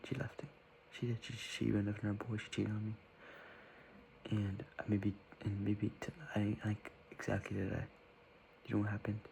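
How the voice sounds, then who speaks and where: A man's voice: on the low side, vocal fry, a little mellow, slight background noise, low-quality recording. A young man giving earnest, rambling advice in a whispery voice, circling back and repeating himself.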